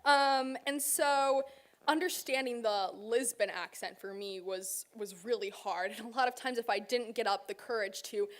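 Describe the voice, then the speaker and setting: A woman's voice: on the high side, normal speed. A young American woman in her twenties giving an informal, chatty presentation about her internship, with frequent "um" and "you know". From United States. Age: 20s. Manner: chatty, many fillers.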